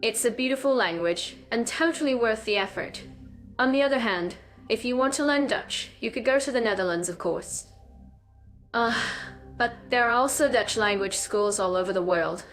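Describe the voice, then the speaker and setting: A woman co-hosting a podcast in an upbeat, bubbly way, her voice sitting a little high. She sounds scripted and chatty, with soft music playing faintly behind her. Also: moderate pace. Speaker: podcast co-host.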